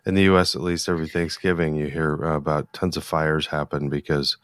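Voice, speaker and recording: A man hosting a casual interview, with a halting, hesitant way of phrasing things. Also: extremely low pitch, level tone, no room sound, studio quality. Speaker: interviewer, podcast host.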